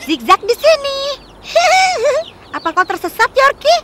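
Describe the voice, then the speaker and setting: A woman's voice: extremely high pitch, sing-song, slow, heavy background noise, full character voice. A woman voicing a small, eager, kind-hearted taxi car in a dubbed children's cartoon, bouncing through sing-song catchphrases. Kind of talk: cartoon dubbing. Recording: loud music underneath.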